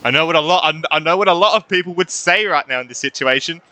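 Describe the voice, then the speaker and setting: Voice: medium pitch, fast, pushed and bright. A gaming streamer giving casual, informal reaction commentary with muttered exclamations. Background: game music.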